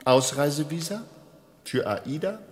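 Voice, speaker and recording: Intent secret agent in echoing hall. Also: male voice, a little high, animated, unhurried, darkish tone. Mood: determined. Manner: terse, intent. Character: earnest, bargaining male Western secret agent. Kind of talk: radio drama acting. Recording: reverberant hall acoustic.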